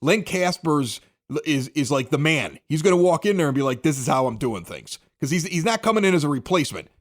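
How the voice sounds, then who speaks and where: A man's voice: fairly high pitch, big pitch swings, fairly quick. A man hosting a casual sports talk show, speaking in an opinionated, emphatic, conversational way. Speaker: sports podcast host.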